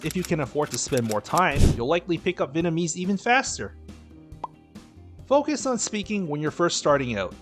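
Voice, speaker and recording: A man giving scripted voice-over narration for his vlog, in a steady, instructive, advice-giving manner. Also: a little high. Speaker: dating and language vlogger.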